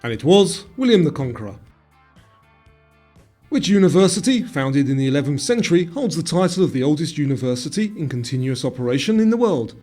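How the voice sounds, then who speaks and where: Man, quiz narrator, slightly high pitch, very animated intonation, scripted delivery, faint music. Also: fairly quick. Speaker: quiz presenter.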